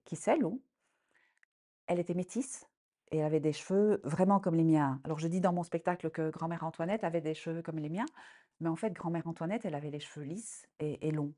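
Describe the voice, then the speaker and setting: A woman storyteller in her sixties, from Congo, with a somewhat low voice. She talks in a halting, unscripted way, full of fillers and restarts. When she tells the tale she grows lively. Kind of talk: personal account and folk tale.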